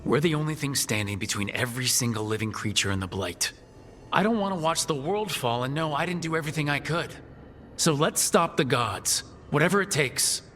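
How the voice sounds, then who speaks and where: An actor playing a determined, reassuring young hero in a video game, speaking in an earnest, measured way. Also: male voice, a little high, lively intonation, a bit bright, a little hiss or hum. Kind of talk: dramatic video game acting.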